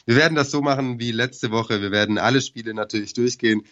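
A man with a cold, hoarse, hosting a casual sports podcast and hesitating with many filler sounds. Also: medium pitch, fast talker, fairly bright tone, muffled recording. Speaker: podcast co-host.

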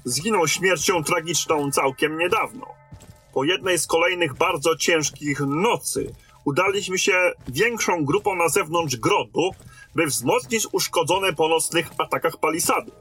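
An actor playing a battle-hardened lieutenant in command of a besieged stronghold in a fantasy audio drama, with a commanding manner. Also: male voice, fairly high pitch, sharp tone, breathy edge, soft background music, heavily acted. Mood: grave, sorrowful. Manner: commanding, barking orders in battle, measured when talking.

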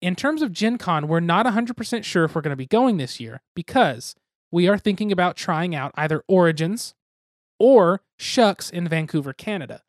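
A man's voice: sits high, expressive, moderate pace, no echo, clean studio sound. A young man co-hosting a board game podcast, talking casually in a rambling, self-deprecating, jokey way. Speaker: podcast co-host.

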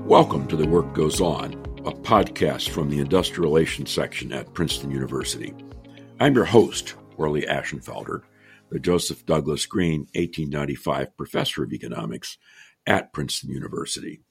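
A man's voice: very deep voice, animated, brisk, vocal fry, soft background music. An older man hosting an interview podcast, reading a formal introduction and then asking questions in a chatty way, often repeating words. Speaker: podcast host.

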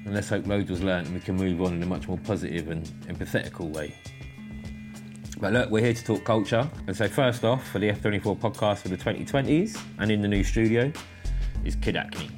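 A very low-voiced man hosts a podcast in a studio. He talks in a loose, slangy way and swears freely. Music plays clearly behind his voice. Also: brisk. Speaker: podcast host. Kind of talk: casual interview chat.

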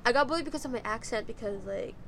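A woman's voice: a little high, fast talker, a bit bright, a little hiss or hum. A young Filipino woman with a Filipino accent hosting a casual podcast chat, giggly and rambling, full of "like" fillers. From Philippines. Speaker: podcast host. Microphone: handheld microphone.

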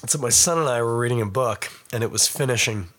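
A middle-aged Canadian man, hoarse and raspy from a cold, hosting a casual podcast and rambling in a self-mocking way to viewers. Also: medium pitch, fast, a bit bright. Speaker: podcast host. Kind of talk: casual live-stream chat.

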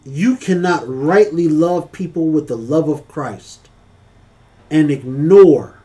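A man's voice: fairly high pitch, takes it slowly, warm tone. A man co-hosting a casual church-talk podcast, speaking earnestly with a preacherly cadence.

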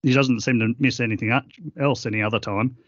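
A middle-aged man guesting on an interview, talking earnestly and haltingly with many fillers. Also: mid-range voice, fairly even intonation, no echo, dull audio. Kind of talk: reflective interview conversation.

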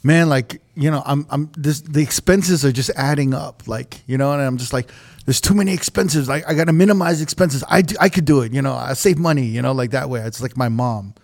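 A man's voice: mid-range voice, a little fast, creaky. A young man hosting a podcast, chatting loosely with lots of fillers and swearing. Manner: loose, profane, lots of "like" and "you know". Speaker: podcast host. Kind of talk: casual podcast chat.

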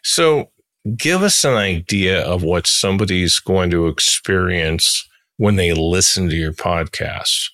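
A man with a low-pitched voice hosts a relaxed-format interview. He talks conversationally and a little haltingly, piecing his thoughts together as he goes. The recording is dry, without audible room. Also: no room sound. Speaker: podcast host, interviewer.

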